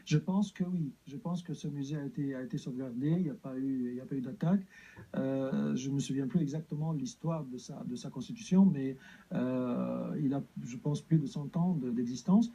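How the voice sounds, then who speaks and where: A man's voice: mid-range voice, normal speed, warm tone, breathy edge, poor audio. A man from Egypt, a guest answering interview questions hesitantly, with many fillers and restarts.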